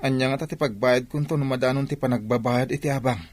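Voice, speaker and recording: A man's voice: medium pitch, steady tone, quick pace, close to an everyday voice. Man playing a glum Ilocano husband. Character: troubled, broke cheating husband in a radio drama.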